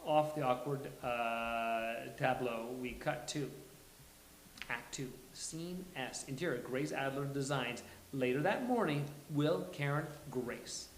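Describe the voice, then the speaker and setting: A man's voice: medium pitch, takes it slowly. A man hosting a live table read, reading script stage directions aloud. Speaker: host and stage-direction reader. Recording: echoey room, hissy background.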